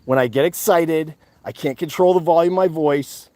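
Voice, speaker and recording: A man giving a defiant, profane monologue to his viewers, loud and emphatic, repeating his points. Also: fairly high pitch, normal speed, dry recording. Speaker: activist channel host.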